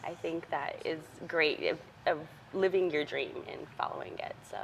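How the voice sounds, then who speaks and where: Co-host lady, kinda low voice, echoey reverb tail, friendly and encouraging, faint hiss. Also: moderate pace, gravelly. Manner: friendly, encouraging, laughs along. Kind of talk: casual radio chat.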